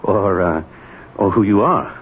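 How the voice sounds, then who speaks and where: An actor playing a confused, apologetic middle-aged husband in a 1970s radio drama, hesitant, polite and stammering. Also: male voice, on the low side, expressive, unhurried, noisy background. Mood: confused, alarmed. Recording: thin phone-like 1970s radio recording.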